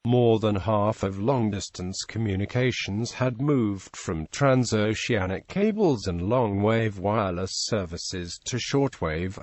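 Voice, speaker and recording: A man narrating scripted encyclopedic material in a formal, measured reading manner. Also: fairly low pitch, a little mellow, dry recording.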